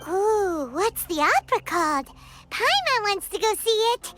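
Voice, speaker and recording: A woman voicing a tiny, excitable floating fairy companion in a video game, with a bubbly, squeaky voice. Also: measured pace, music in the background, full character voice. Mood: excited.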